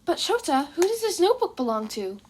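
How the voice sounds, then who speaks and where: A woman's voice: extremely high pitch, sing-song, brisk, a little hiss or hum, pitched above the performer's own voice. A woman voicing a cheerful, scheming little male animal principal in a fan fiction reading, chirpy and politely insistent. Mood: polite, curious. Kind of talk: fan fiction audiobook character voice.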